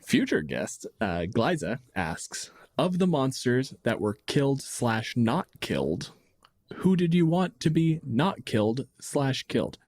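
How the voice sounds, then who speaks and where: A man's voice: mid-range voice, big pitch swings, unhurried, a little mellow, no room sound, studio quality. A man from Canada co-hosting a casual podcast chat, blunt and sardonic in his way of talking. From Windsor, Ontario, Canada. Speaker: podcast co-host. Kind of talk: casual chat about tabletop gaming.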